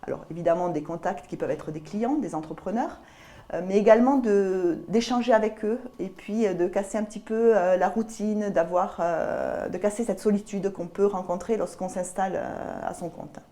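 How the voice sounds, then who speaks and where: A middle-aged woman with a southern French accent answering interview questions about her work, often pausing mid-phrase to pick her words. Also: medium pitch, warm tone. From south of France (Albi). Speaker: guest, business lawyer.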